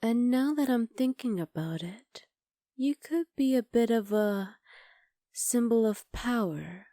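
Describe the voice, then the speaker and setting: A woman voicing a scheming, possessive witch in an ASMR roleplay, speaking softly and often half-whispering close to the mic. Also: a little high, animated, takes it slowly, no room sound. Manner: soft, intimate, coolly calculating, often half-whispered. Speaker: voice actor.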